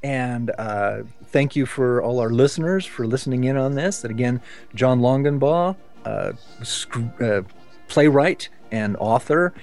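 A man hosts a podcast in a casual, conversational style. He muses wryly in a middle-pitched voice, with music clearly present in the recording. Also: lively intonation, measured pace, a little mellow. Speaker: podcast host.

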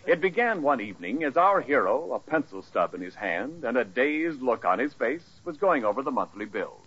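A man with a husky voice narrating a scripted 1940s radio sitcom as its announcer. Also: mid-range voice, sing-song, moderate pace, a bit bright. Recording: muffled vintage radio recording.

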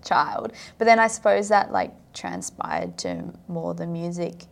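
A woman's voice: fairly low pitch, huge pitch swings, a little slow, vocal fry. A young woman from South Africa, chatting casually as a podcast guest and filling her talk with "like". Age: young adult. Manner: chatty, filler-heavy, frequent "like". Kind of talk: casual interview chat.

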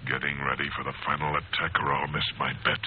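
An actor playing a grave, decisive captain leading a young squadron in a 1940s radio adventure serial. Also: male voice, very deep voice, little pitch movement, fast. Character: steady heroic captain commanding his young squadron. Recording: tinny, hissy old radio broadcast.

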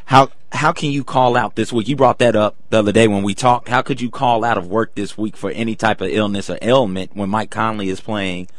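A man's voice: lowish voice, steady tone, a little fast, hardly any pauses, no room sound. A man co-hosting a sports radio show, teasing the host in casual chat.

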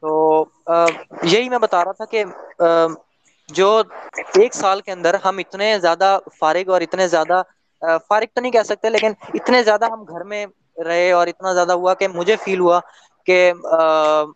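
Hesitant, higher-pitched Pakistani man. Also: a little slow, clear, resonant tone, no room sound, highs cut off. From Lahore, Pakistan. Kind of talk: casual chat with a new acquaintance.

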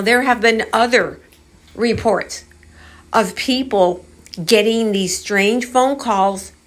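A woman's voice: medium pitch, a bit bright. A woman host telling strange stories to her viewers in a casual, musing, conversational way, taking her time. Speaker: host of a paranormal storytelling channel.

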